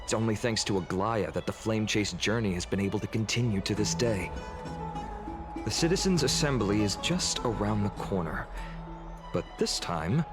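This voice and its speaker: A gentle, earnest warrior voiced by a man in a story-driven video game, with a fairly low voice. He speaks in measured, formal, courteous phrases, and music plays clearly behind him. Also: lively intonation, lightly acted. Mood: earnest, concerned. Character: gentle, earnest male warrior worried for his comrades.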